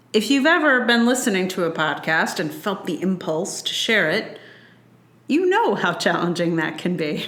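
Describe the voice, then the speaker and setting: A woman podcast host reading her own personal essay aloud with measured, deliberate phrasing. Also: lowish voice, fairly bright tone, reverberant.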